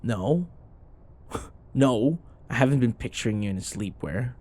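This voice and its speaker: Man acting a cocky, flirty office rival, swinging pitch. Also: lively intonation, warm tone. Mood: flustered, defensive.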